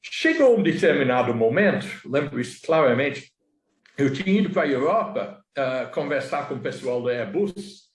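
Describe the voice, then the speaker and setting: A man's voice: medium pitch, reverberant. A man in his sixties from England, a native English speaker with an English accent, telling his life story as an interview guest with many restarts and fillers.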